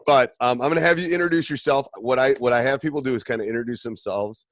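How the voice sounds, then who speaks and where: A man's voice: mid-range voice, fast, no room sound. A man hosting a podcast, eager and welcoming, with fillers like "um" and "you know". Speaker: podcast host. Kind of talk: podcast hosting and sponsor reads.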